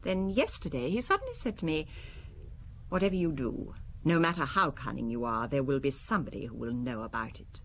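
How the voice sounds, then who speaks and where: A woman's voice: deep voice, very animated, brisk, slight background noise. An actress playing a cool, proud married businesswoman in a radio drama, composed, articulate and faintly contemptuous. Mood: composed, uneasy. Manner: composed, haughty, articulate, defensive.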